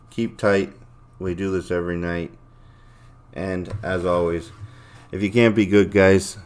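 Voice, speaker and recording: Deep-voiced man rambling on a livestream. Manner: rambling, blunt and profane. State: voice a little worn, losing it. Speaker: livestream host. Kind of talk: casual livestream sign-off chat, reading chat comments aloud.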